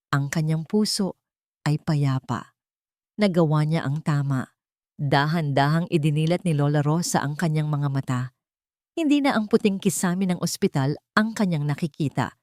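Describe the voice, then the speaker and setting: A woman narrating a sentimental drama from a script in a measured, solemn storytelling manner. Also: fairly low pitch, darkish tone, no room sound, clean studio sound.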